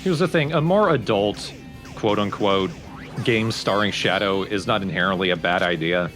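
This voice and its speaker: A man's voice: on the low side, normal speed, music in the background. A man doing casual, analytical and opinionated commentary for a video game let's play.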